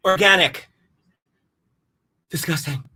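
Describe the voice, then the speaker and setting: A man's voice: sits high, takes it slowly, bright, forceful tone, husky. A man performing a rambling comic monologue to camera, flustered, apologetic and self-deprecating, with theatrical exclamations. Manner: theatrical, exclaims in sudden outbursts. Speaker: comedic performer in an online showcase.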